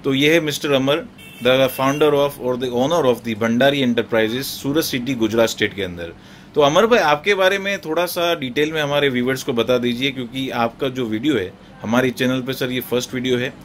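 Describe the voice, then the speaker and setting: A man's voice: mid-range voice, normal speed, a little hiss or hum. Polite male business interviewer. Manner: polite, repeats "right, right", addresses viewers directly. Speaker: interviewer, channel host.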